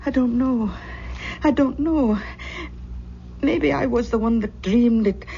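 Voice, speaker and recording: An actress playing an anxious, superstitious older aunt in an old-time radio drama. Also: female voice, a little high, creaky, warm tone, a little hiss or hum. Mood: worried. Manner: hesitant, halting, fretful. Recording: muffled old radio recording.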